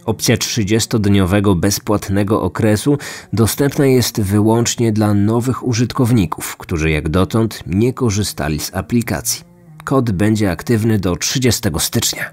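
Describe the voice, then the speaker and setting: A young man hosting a true crime podcast, reading scripted narration in a measured, deliberate way. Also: lowish voice, darkish tone, breathy edge. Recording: music bed behind the voice.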